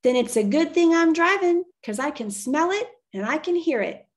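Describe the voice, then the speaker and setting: A woman's voice: sits high, expressive, normal speed, pitched above the performer's own voice. A woman voicing a tough, plainspoken woman stagecoach driver with a firm, folksy way of talking in a storybook. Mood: bold. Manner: commanding, folksy frontier talk.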